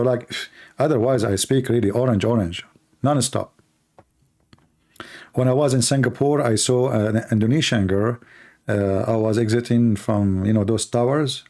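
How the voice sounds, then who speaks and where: Mellow-voiced man, mocking and ironic. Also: mid-range voice. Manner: mocking, rambling and ironic, with many fillers. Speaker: host of a call-in show. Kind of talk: sarcastic comedic monologue.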